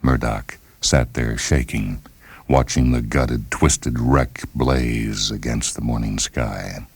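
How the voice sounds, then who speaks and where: An American man narrating a story for radio in a measured, solemn, dramatic read. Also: a bass voice, fairly even intonation, slightly rough, no room sound. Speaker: narrator and host. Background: music under the reading.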